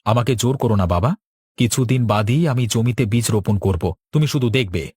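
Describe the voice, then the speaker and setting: Man as cartoon village son, young farmer, steady, earnest, slightly rough voice, dry sound. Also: medium pitch, a little fast, dry recording, natural voice. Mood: determined. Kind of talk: cartoon dialogue.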